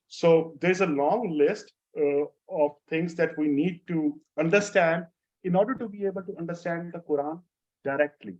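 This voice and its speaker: Man teaching, slightly high voice. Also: moderate pace, muffled recording. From Lahore, Pakistan. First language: Urdu. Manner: explanatory, steady, repeats key phrases. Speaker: instructor. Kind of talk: answering a student's question in an online class.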